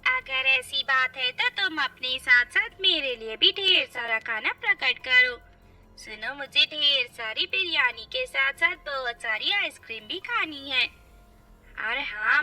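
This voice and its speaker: A woman's voice: high voice, very animated, a little slow, sharp tone, far from the mic, faint music behind, heavily acted. A woman voicing a lazy, gluttonous, simple-minded witch in a cartoon story, eager and whiny like a child. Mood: greedy, bossy.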